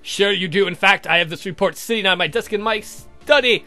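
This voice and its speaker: A man voicing a sardonic, theatrical vampire count for a visual novel game, mocking and campy in a drawn-out way. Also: mid-range voice, pushed and bright, faint music behind, natural voice. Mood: stern, probing.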